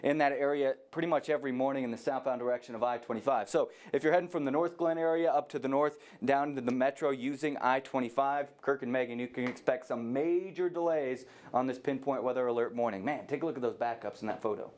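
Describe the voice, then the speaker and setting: Rapid American male traffic reporter. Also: mid-range voice, a little mellow. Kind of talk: conversational live traffic report.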